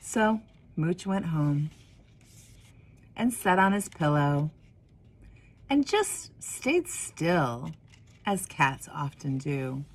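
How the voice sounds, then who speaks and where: A woman in her forties reading a children's story expressively, with deliberate pauses and emphasis. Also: low-pitched, big pitch swings, slightly rough. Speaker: minister of religious education reading a picture book.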